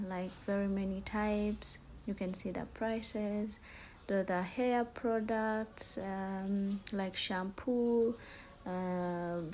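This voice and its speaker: Young Ugandan woman, casual and halting. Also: medium pitch, a little mellow. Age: young adult. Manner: informal, halting, repetitive explaining. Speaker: vlogger, student. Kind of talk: casual shopping vlog narration. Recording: store ambience noise, handheld phone. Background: faint ambient noise.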